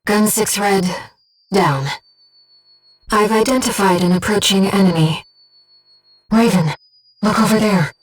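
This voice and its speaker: A woman acts out a gentle, bodiless female guide in a video game. She speaks in a mid-range voice with earnest, measured precision, like mission support for a pilot. The sound sits a little distant from the microphone. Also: mid-range voice, natural voice.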